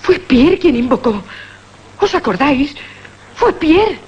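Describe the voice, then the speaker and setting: A woman's voice: high voice, sing-song, takes it slowly, full character voice. An actress playing a frightened, insistent young woman in a radio horror drama. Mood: urgent, frightened. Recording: muffled radio drama, heavy noise.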